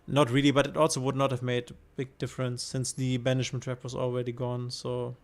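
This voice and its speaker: German streamer, male, flat gravelly delivery, faint music underneath, musing over plays. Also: mid-range voice, a little fast. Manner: thinks aloud, hesitant, reacts to chat. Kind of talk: casual gameplay commentary.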